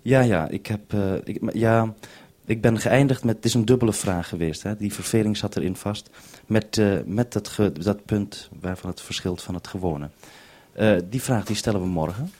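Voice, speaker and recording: A man presenting over a two-way radio link, talking in a measured, procedural way. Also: fairly low pitch, a little mellow.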